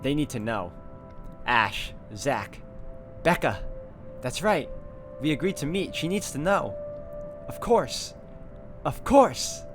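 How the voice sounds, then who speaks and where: A man's voice: medium pitch, expressive, takes it slowly, pauses often. A man doing a let's play, reading visual novel narration aloud and adding his own off-the-cuff reactions. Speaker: video game let's player. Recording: game music behind the voice. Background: game music.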